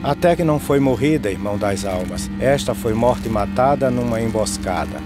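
A man playing a grave, matter-of-fact peasant pallbearer, reciting rhythmic verse in an animated film. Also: lowish voice, moderate pace, natural voice. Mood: grave, resigned. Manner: solemn, rhythmic, dropping on refrains. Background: music.

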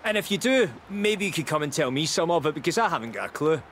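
An actor playing an easygoing, wry festival organiser, casually narrating in a video game, chatty and self-deprecating. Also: male voice, fairly high pitch, very animated, fast, fairly bright tone, slight background noise. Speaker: video game character guide narrating landmarks.

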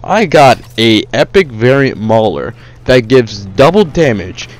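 A man's voice: mid-range voice, sing-song, unhurried. A young man casually reviewing a shooter game over gameplay, rambling with many fillers and restarts. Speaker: gaming YouTuber.

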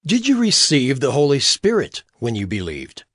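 Husky, fervent apostle Paul. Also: male voice, medium pitch, wildly swooping pitch, moderate pace, fairly bright tone, dry recording, clean studio sound, full character voice. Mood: earnest, probing. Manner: emphatic, declamatory. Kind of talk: dramatized Bible audio drama.

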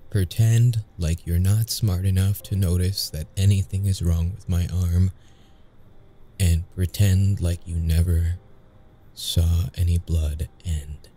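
Man acting as young injured male vampire, gravelly low voice, dark tone, wary, brooding, intimate ASMR roleplay. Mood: nervous, pleading. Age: young adult. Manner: halting, hesitant, stammering when flustered. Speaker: audio roleplay performer.

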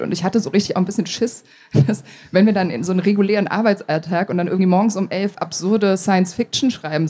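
A woman's voice: on the low side, a little mellow, slightly rough. A woman in her thirties chatting casually as a guest in a live interview, often interrupting herself. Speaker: podcast author being interviewed. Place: small stage at a book fair. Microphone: handheld microphone.

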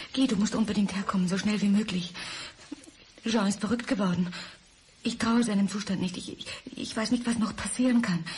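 An actress playing a frightened, pleading ex-wife in a radio crime play, speaking in an anxious, halting way. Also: female voice, mid-range voice, darkish tone, slight background noise, close to an everyday voice. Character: frightened, pleading ex-wife held by a dangerous man. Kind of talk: 1990s German radio crime play acting.

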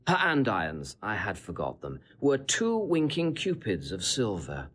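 An actor playing a sly, boastful gentleman seducer in classical Shakespearean audio drama, insinuating and relishing every detail. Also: on the low side, huge pitch swings, measured pace, slight background noise, heavily acted. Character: sly, boastful Italian gentleman, smooth-tongued seducer taunting a husband.

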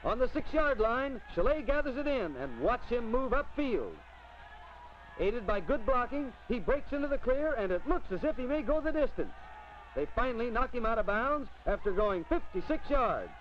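An American man giving animated play-by-play commentary for a 1950s newsreel. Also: very high voice, normal speed. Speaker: sports commentator. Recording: dull, noisy vintage film soundtrack.

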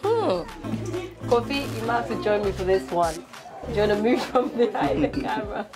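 Young woman vlog host, chatty and encouraging, outdoor yard, loud music bed. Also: mid-range voice, big pitch swings, normal speed. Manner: chatty, encouraging, laughs often. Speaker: vlogger host. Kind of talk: casual vlog chat and interviewing. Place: outdoor chop bar kitchen yard. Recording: music playing loudly behind.

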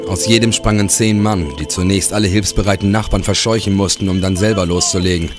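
An actor playing a grim police investigator who narrates his case in a crime audio drama, grave and measured. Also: low voice, fairly even intonation, over music, natural voice.

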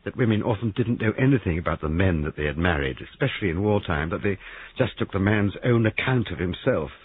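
A man's voice: sits low, expressive, brisk, slight background noise, pitched above the performer's own voice. A man voicing an anxious, distraught young wife in an audiobook story, breathless and pleading, with stammers. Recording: narrow-band lo-fi radio-like sound.

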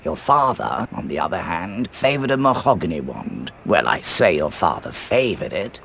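An actor playing an eccentric, mysterious old British wandmaker in a video game, theatrical, musing and precise. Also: male voice, sits low, very animated, moderate pace, vocal fry, fairly bright tone, slight background noise, exaggerated voice. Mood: reminiscing. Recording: music behind the voice. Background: music.

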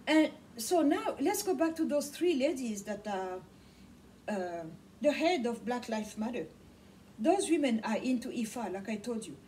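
A middle-aged woman, a native Swahili speaker, preaches with passion and repeats her key words. Her voice sits fairly high. She is picked up by a camera mic across a small study, with a faint hum in the background. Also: lively intonation, unhurried, mellow. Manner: impassioned, repeats words for emphasis, gestures a lot. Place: small home study with bookshelves, indoors. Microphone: camera mic from across the room. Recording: distant mic with faint room noise.

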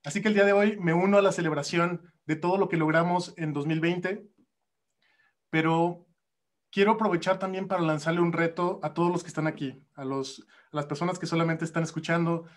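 Guy in his 30s hosting an online awards night, rousing pep talk, kinda high voice. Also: fairly even intonation, brisk. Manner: rousing, challenging the audience. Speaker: event host. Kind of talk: motivational closing speech. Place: home room on a video call.

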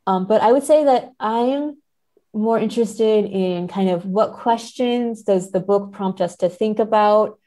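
A woman's voice: medium pitch, measured pace, dark tone. A woman speaking as a scholarly interview guest, explaining ideas in a careful, hedging academic manner. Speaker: podcast guest, literary scholar. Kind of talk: thoughtful academic interview talk.

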